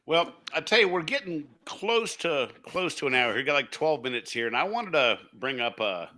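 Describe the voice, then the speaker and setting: A man's voice: a little high, very animated, normal speed, pushed and bright. A man co-hosting a podcast, playful and wisecracking. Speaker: podcast co-host. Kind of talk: casual podcast banter.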